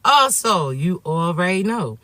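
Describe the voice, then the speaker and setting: A man with a raspy voice, sniffly from allergies, delivering a spiritual reading straight to his viewers and checking in with them often. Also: high voice, very animated, a little slow, dry recording. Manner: warm affectionate address to viewers, repetitive "okay?" check-ins. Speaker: spiritual reader and coach. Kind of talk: direct-to-viewer spiritual message.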